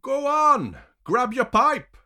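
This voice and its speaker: A man's voice: sits low, lively intonation, slow pace, pushed and bright, full character voice. A man voicing a cruel male captor in a story, commanding, with a taunting, snarling delivery. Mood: mocking.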